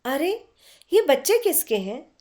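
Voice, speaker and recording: Storyteller as a high, incredulous woman painter. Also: big pitch swings, a little slow, darkish tone. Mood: surprised. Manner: incredulous, probing. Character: woman painter, astonished, curious, fond old friend.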